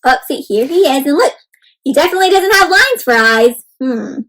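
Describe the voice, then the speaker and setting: A woman's voice: sits high, expressive, moderate pace, fairly bright tone. A woman hosting an interactive storybook read-aloud for young children, often putting questions to her listeners and addressing them as friends. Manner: asks the listeners frequent questions.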